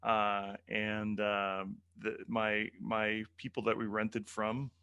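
A man's voice: on the low side, little pitch movement, slow, sharp tone, clean tone, muffled recording. A man hosting a podcast, friendly and joking in casual interview chat with some hesitant fillers. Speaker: podcast host.

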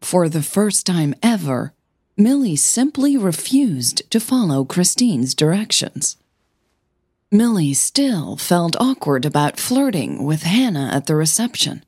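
Female fiction podcast narrator, slow and deliberate, slightly breathy, husky delivery over music. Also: on the low side, a little mellow, no echo, clean studio sound. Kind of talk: scripted audio fiction narration.

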